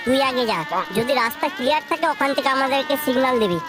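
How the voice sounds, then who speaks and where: A woman's voice: high-pitched, fast talker, lightly acted. A woman voicing a scheming young village guy in a comedy cartoon, with slangy, whining, argumentative delivery. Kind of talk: exaggerated comedy cartoon dialogue. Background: music.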